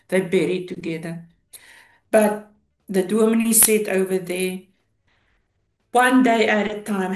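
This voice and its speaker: South African woman, fifties, very unhurried, halting and reflective, interview testimony, roomy echo. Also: fairly low pitch, warm tone. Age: 50s. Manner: halting, reflective, pausing mid-sentence. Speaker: interview guest, police officer. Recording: roomy, echoing sound.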